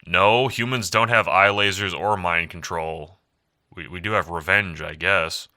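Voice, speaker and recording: A man's voice: low-pitched, fairly even intonation, unhurried, sharp tone, exaggerated voice. A man voicing a blunt, confused young human guy who talks in a dry, offhand way.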